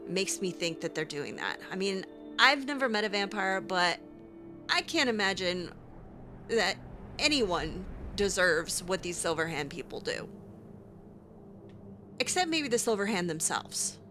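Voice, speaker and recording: A woman's voice: medium pitch, big pitch swings, unhurried, long pauses, pushed and bright. A woman playing a sarcastic, determined female adventurer in a conversational, opinionated way, as an in-character game roleplay. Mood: earnest, indignant. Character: sarcastic, clever, determined female adventurer who hates cruelty. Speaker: let's play host, role-playing in character. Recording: game music behind the voice.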